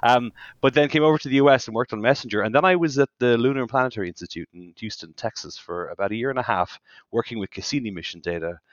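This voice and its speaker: A man's voice: medium pitch, fast talker, no room sound. Wry Irish man on a muffled call. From Ireland. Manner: chatty and wry, jokes about himself. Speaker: planetary science professor, guest. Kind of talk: casual interview chat. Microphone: remote call. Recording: dull dry call audio.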